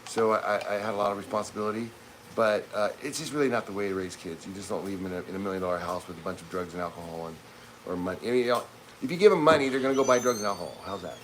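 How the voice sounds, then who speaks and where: A man's voice: lowish voice, racing, fairly bright tone, a little hiss or hum. Rambling man in his fifties. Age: 50s. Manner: rambling, with many restarts and asides. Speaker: livestream co-host. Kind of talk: casual livestream chat. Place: home kitchen.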